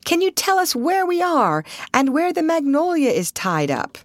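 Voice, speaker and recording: Woman reading an eager young man, high and lively. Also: moderate pace, no echo, clean studio sound. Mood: hailing. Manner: hailing, earnest, eager. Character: eager, polite young man leading a lost party.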